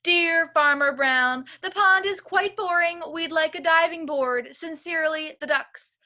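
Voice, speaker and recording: A woman voicing polite but insistent storybook farm animals, reading out their demands deliberately and emphatically like a letter. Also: very high voice, sharp tone, pitched above the performer's own voice, full character voice. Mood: politely insistent.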